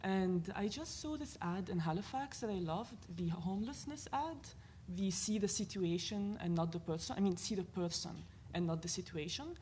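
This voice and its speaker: Lebanese-accented woman, mid-pitched, earnest panelist who thinks aloud with fillers and a faint hiss behind her. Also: expressive, normal speed, few pauses, warm tone. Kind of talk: panel discussion talk. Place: university panel event.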